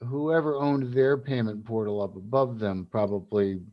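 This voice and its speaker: A man's voice: mid-range voice, steady tone, normal speed, lo-fi sound. A man from South Carolina, hosting a casual advocacy livestream, rambling with many fillers and restarts. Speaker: cannabis advocacy group host.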